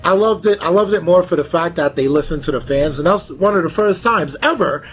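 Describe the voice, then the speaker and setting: High-voiced man chatting casually. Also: lively intonation, brisk, slight background noise. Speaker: panelist, video game fan. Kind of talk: casual chat.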